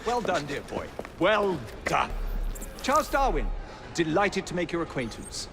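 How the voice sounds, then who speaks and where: An elderly British gentleman scholar in a Victorian video game, genteel and easily indignant. His voice sits high and swings widely in pitch, over noticeable street noise. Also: big pitch swings, a little slow, vocal fry, exaggerated voice. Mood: measured, cautioning. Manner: formal, genteel, articulate.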